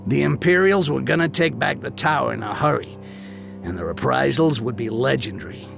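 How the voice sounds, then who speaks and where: A man voicing a cynical, foul-mouthed goblin mercenary in a video game, gravelly and sardonic. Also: medium pitch, animated, a little fast, over music. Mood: grim, sardonic. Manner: sardonic, streetwise, crude. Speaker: character narrator.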